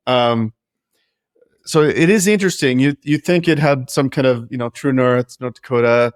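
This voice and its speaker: A man's voice: mid-range voice, dry recording, studio quality. A man in his forties who grew up in Norway, talking candidly and with self-deprecating humor as a guest in an interview. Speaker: guest, business founder. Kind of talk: casual interview.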